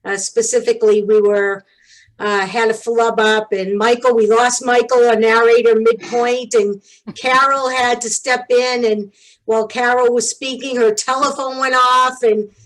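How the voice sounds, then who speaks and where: A woman's voice: on the high side, level tone, unhurried, fairly bright tone, rough recording. A woman helping run an online event, chatting casually with a group over a video call. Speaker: play team member and event organiser. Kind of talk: casual chat.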